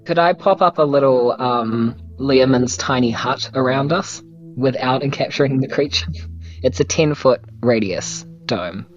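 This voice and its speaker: Man, New Zealand accent, slightly muffled audio, higher-pitched voice, casual tabletop player, tentative, thinking aloud. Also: a little slow, soft background music. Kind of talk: casual game chat.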